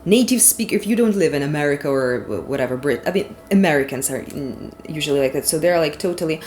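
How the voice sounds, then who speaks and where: A woman's voice: sits low, expressive, fast talker, darkish tone, a little hiss or hum. A woman from Ukraine with a Ukrainian accent, doing a casual tarot reading in English as a non-native speaker, rambling and frank with casual swearing. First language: Ukrainian or Russian. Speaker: tarot reader.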